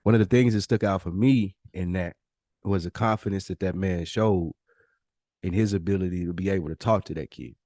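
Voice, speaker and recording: A man's voice: deep voice, a little fast, dark tone, dry recording, studio quality. An American man hosting a podcast in a casual, reflective way, feeling out his wording as he goes. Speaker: podcast host.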